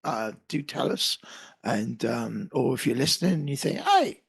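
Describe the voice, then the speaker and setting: Hoarse husky man with a cold. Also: mid-range voice, very animated, moderate pace. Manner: jokey, self-deprecating banter. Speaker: podcast co-host. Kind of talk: casual podcast chat.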